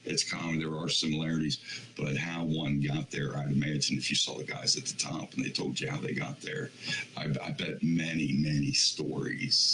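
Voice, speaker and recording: A male comedian talks loosely in an interview with a very low, deep voice. His tone is bright and forceful, and he rambles and keeps checking that the listener is following him. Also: level tone, normal speed, slight background noise, low-quality recording. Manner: rambling, keeps asking "you know what I mean". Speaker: comedian guest. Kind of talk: casual interview chat.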